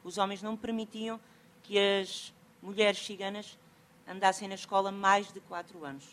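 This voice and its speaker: A woman from Portugal with a Portuguese accent, speaking at a conference, halting at times as she looks for words. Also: medium pitch, steady tone, fairly bright tone. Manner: halting, pausing to find words.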